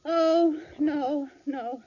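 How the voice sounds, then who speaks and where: A scheming housewife in an old radio sitcom, with a high, unhurried voice. She is theatrical and puts on frailty to get her way, on a muffled vintage recording. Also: fairly even intonation, warm tone, slight background noise. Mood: feigned frailty. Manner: theatrical, with exaggerated groans and put-on frailty. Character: scheming middle-class housewife feigning sickness. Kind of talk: 1950s radio sitcom acting.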